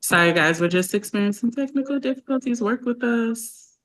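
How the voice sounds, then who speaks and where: A woman's voice: fairly high pitch, lively intonation, a little fast, fairly bright tone. A woman hosting a casual live-stream interview over a video call, chatty and talking through connection problems aloud. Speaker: livestream host.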